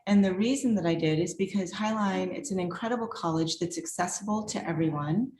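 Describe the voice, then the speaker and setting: A woman's voice: lowish voice, fairly quick, darkish tone, a little hiss or hum. Middle-aged woman, art professor, chatty and upbeat, recorded in an echoey room. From former Czechoslovakia. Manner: chatty and upbeat, laughs often. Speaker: guest artist and art professor. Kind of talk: casual self-introduction at a virtual reception.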